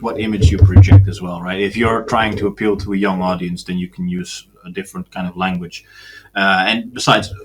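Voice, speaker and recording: A man's voice: on the low side, a little hiss or hum, rough recording. A man, a guest in a casual podcast conversation, talking in a matter-of-fact way with sardonic asides.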